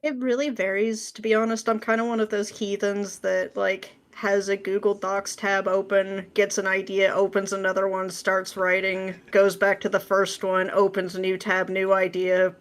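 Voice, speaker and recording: A woman with a medium-pitched voice answers questions as an interview guest. Her talk is casual and informal, with a self-deprecating streak. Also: monotone, moderate pace, hardly any pauses. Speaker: interview guest, script writer.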